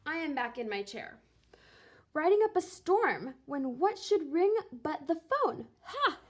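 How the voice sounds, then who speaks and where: A woman reading a storybook aloud with expressive, dramatic and deliberate delivery. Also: high voice. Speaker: teacher reading a picture book aloud.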